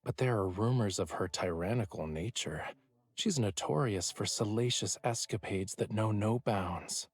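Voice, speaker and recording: Low-voiced, teasing young lover. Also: male voice, normal speed, dry recording. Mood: storytelling. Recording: music behind the voice.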